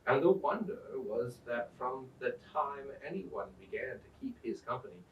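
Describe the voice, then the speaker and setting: A man reading an old tale aloud as a storyteller, in a theatrical, deliberate manner. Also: medium pitch, animated, muffled recording, a little hiss or hum. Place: indoors at a museum. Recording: roomy echo, distant microphone.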